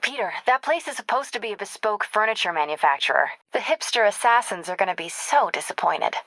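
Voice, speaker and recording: Young American woman reporter, wry and caring, medium pitch, conversational, video game dialogue, dry close recording. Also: lively intonation, a little fast, fairly bright tone, no room sound. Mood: teasing.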